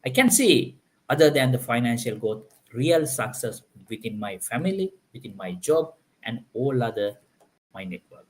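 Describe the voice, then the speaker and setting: A man's voice: medium pitch, expressive, moderate pace, darkish tone. A man hosting a live online panel, talking in a loose, filler-heavy way and often restarting his sentences. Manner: filler-heavy, repeats "like, you know". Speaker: host. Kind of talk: live stream panel chat.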